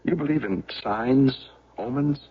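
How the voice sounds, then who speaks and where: An actor playing a self-pitying accused man in a radio drama, speaking in a halting, stammering, troubled way. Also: medium pitch, slightly rough. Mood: anxious, defensive. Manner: halting, stammering, agitated then dejected. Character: defeated, self-pitying accused man, a failed small-town bookseller. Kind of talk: 1970s radio drama acting. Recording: tinny, band-limited old radio recording.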